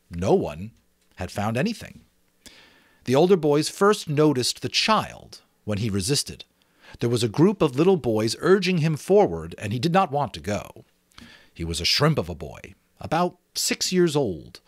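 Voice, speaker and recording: Animated male amateur storyteller, dry sound. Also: medium pitch, dry recording. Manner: expressive storytelling. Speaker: amateur audiobook narrator. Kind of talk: dramatic narration with casual asides.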